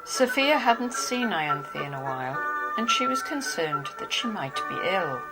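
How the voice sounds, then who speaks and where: Woman narrator, low pitch, scripted storybook reading, prominent music bed. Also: sing-song, unhurried, rough recording. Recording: loud music under the voice.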